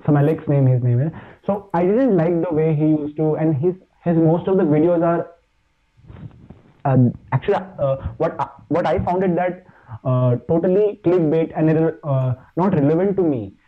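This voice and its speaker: Indian man on a tinny line. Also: a little high. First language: Hindi. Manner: halting, many fillers and restarts. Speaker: podcast guest, fitness YouTuber. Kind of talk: casual chat.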